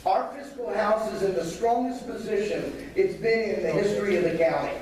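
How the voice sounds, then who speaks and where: A hoarse man with a raspy voice pitched fairly high gives a campaign pitch to a meeting crowd. He is heard from some distance in a very echoey hall, with a faint hiss behind him. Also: moderate pace. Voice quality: raspy, losing his voice. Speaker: county commissioner.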